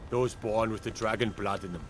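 A man's voice: on the low side, steady tone, moderate pace, slight background noise, close to an everyday voice. A man playing an earnest Nord guardsman who solemnly tells of old legends in a fantasy video game. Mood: awed, earnest.

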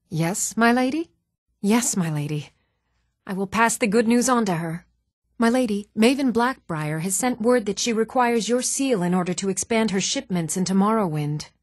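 A composed, earnest woman of a fantasy realm, acted by a woman for a video game. Her delivery is measured and restrained, at a middling pitch. The recording is dry and close, with no room on it. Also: dry recording, natural voice. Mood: composed, dutiful. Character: even-toned adult woman of a fantasy world, composed and earnest. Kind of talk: scripted video game character dialogue.

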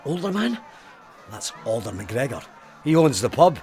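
An actor with a Scottish accent playing a grim, haunted, commanding police sergeant in a formal, clipped manner in an audio drama. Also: male voice, mid-range voice, very animated, a little slow, darkish tone. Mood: wary. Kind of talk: full-cast audio drama acting.

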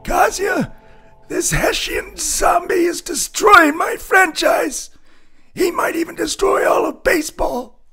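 A man's voice: very high-pitched, expressive, slow, pushed and bright, raised voice, soft background music, exaggerated voice. A man playing a melodramatic, whining, cowardly character in a comic audio drama. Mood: desperate.

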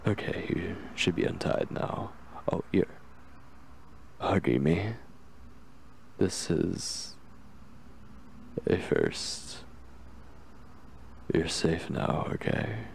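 A young man playing an obsessive yet tender, anxious kidnapper in an intimate ASMR roleplay, speaking softly and hesitantly in a gravelly, reassuring voice. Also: low voice, a little hiss or hum, heavily acted. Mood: tender, earnest. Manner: hesitant, halting, gently reassuring.

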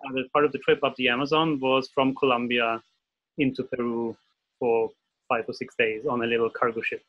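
A man's voice: mid-range voice, flat delivery, brisk. A man in his forties from Austria with an Austrian German accent, talking as a guest in a casual interview over a video call, hesitant, with many fillers and restarts. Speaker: guest illustrator. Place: home room at a desk. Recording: dull video call audio.

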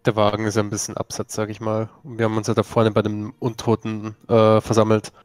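A man's voice: fairly low pitch, monotone, a little fast, no echo. A man speaking in character in a tabletop role-playing game, hesitant and searching for words.

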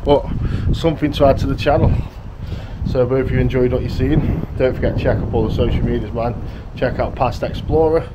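A man's voice: medium pitch, fairly even intonation, fairly quick. A man, chatting casually to camera while exploring a derelict house and saying "guys" a lot. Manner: chatty, laughs often, keeps saying "guys". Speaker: urban explorer vlogger. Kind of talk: casual vlog narration. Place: outdoors and inside a derelict brick house. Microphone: handheld camera held close. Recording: noisy outdoor ambience.

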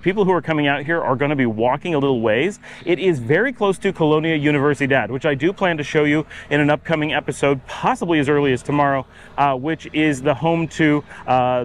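A middle-aged man chatting as a travel vlogger, explaining things casually with lots of filler pauses while walking outdoors in a sunny park, camera at arm's length. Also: on the high side, a little hiss or hum. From United States. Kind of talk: casual walking vlog narration. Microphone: camera held at arm's length.

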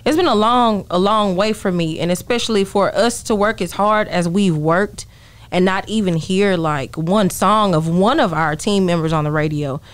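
Clear, smooth-voiced woman. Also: medium pitch, moderate pace. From East Texas, United States. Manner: agreeable, repeats short phrases. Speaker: singer, interview guest. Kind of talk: casual interview chat. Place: small podcast studio. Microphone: close studio microphone on arm, headphones.

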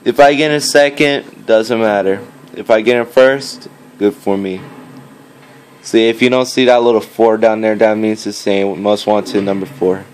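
A man's voice: on the low side, fairly even intonation, a little slow, a little mellow, slight background noise, poor audio. A fourteen-year-old boy giving casual, hesitant, rambling gameplay commentary, recorded on a phone. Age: teenager, fourteen. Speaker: gaming video maker. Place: indoors, filming a game on a screen. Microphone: handheld phone. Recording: phone recording with game music behind. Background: game music.

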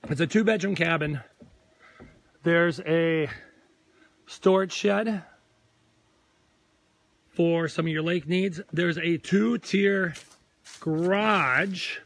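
A hoarse man in his forties with a Minnesotan accent, a real estate agent giving a rambling, earnest pep talk on a live tour, filmed outdoors by a lake on a phone held at arm's length. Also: fairly high pitch, slow pace, long pauses, no echo. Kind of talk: live property tour vlog. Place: outdoors on a lakeside deck.

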